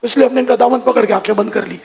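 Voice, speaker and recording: A man's voice: extremely high pitch, steady tone, rapid-fire, vocal fry, background noise. An older man, a religious leader, giving an informal sermon of advice to his followers.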